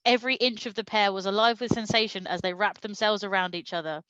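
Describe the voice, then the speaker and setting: A woman's voice: mid-range voice, steady tone, fast, fairly bright tone, smooth, no echo, muffled recording. A woman doing a comedic reading of fan fiction aloud in a mock-serious manner, prone to breaking into laughter. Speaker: reader at a comedy show.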